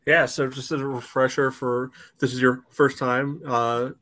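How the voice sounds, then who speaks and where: A man's voice: medium pitch, fairly even intonation, moderate pace, bright, forceful tone. Chatty man co-hosting a sports podcast. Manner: chatty, peppers talk with "you know". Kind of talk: casual sports discussion.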